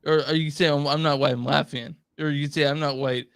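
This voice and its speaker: A man's voice: on the high side, fairly even intonation, fast, creaky, fairly bright tone, clean tone, no room sound, studio quality. A man co-hosting a podcast, chatting casually and informally with some swearing. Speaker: podcast co-host.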